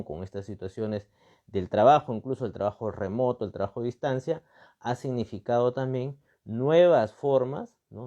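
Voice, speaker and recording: A man's voice: on the low side, warm tone, dull audio. A man from Peru with a Peruvian accent gives off-the-cuff analytical commentary, emphatically repeating phrases and posing rhetorical questions with frequent tag words. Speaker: political and constitutional commentator. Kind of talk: extemporaneous analytical talk.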